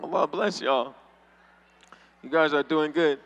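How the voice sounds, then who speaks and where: A man's voice: fairly high pitch, a little slow, a bit bright, slight background noise, low-quality recording. A man doing stand-up at a convention, teasing the crowd and laughing often. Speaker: stand-up comedian. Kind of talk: stand-up comedy. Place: convention hall stage.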